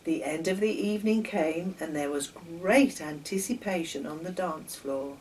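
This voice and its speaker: A woman in her sixties reading a children's story aloud in a deliberate storytelling manner, pausing between phrases. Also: low voice, darkish tone. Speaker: children's story author and reader. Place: home kitchen, indoors. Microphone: camera a few metres away. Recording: distant camera mic, faint noise.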